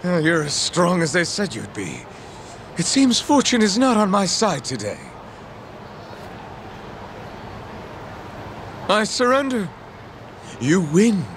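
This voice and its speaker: An actor playing a pompous, grandiose gangster boss in a video game, speaking in a mock-formal, melodramatic way. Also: male voice, high voice, wildly swooping pitch, a little slow, a bit bright, a little hiss or hum, exaggerated voice. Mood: theatrical. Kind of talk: video game dub acting. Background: music.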